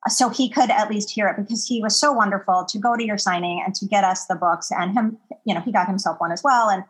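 A woman's voice: mid-range voice, fast talker, breathy edge, rough recording. A woman co-hosting an interview, speaking earnestly and rambling a little, with lots of fillers. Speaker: podcast co-host. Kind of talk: interview conversation.

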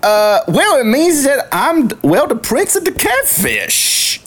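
A woman playing an excitable, folksy catfish prince from Louisiana with a Southern accent, rambling in an improvised comedy roleplay. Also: high voice, takes it slowly, heavily acted. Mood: proud, animated.

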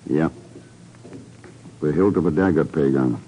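An actor playing a cool, dry secret agent with a measured, wry way of questioning, in a 1950s radio drama. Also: male voice, rumbling low, dark tone. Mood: dry, calm. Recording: dull, noisy 1950s radio recording.

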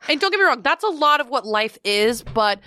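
A young woman co-hosting a podcast in a studio, chatting casually and getting animated, her pitch jumping up when she gets worked up. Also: brisk, no room sound. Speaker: podcast co-host. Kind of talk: casual chat.

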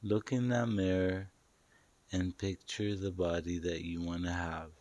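A man's voice: deep voice, flat delivery, measured pace, darkish tone. A man narrating a guided meditation in a soothing, instructive, hypnotic manner, repeating short phrases.

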